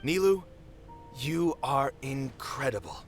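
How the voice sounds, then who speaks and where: An actor playing a dutiful, protective theater manager in a video game, speaking formally and emphatically. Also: male voice, medium pitch, lively intonation, slow pace, over music. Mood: earnest.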